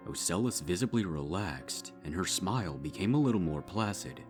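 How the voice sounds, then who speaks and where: A man reading dark fiction as a scripted narrator, measured and with dramatic pauses. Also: sits low, lively intonation. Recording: music behind the voice.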